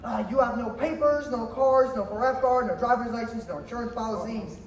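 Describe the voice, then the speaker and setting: A young man playing a gentle, innocent, centuries-old guardian angel in a high school stage radio play, explaining things earnestly. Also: a little fast, a little hiss or hum. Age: young adult. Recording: distant echoey theater recording with music.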